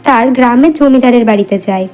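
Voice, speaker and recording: Female narrator, scripted storybook style, high-pitched, mellow, background music. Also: fast.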